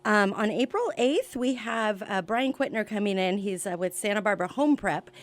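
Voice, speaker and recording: A woman's voice: mid-range voice, expressive, moderate pace. A woman speaking as a guest on a radio show, giving information about events in conversational interview talk. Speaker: radio show guest, senior seminar organizer.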